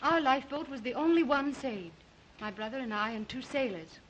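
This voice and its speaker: Muffled old film sound, faint noise, gracious young lady, high voice, poised, polite. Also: lively intonation, fairly quick. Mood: composed, explanatory.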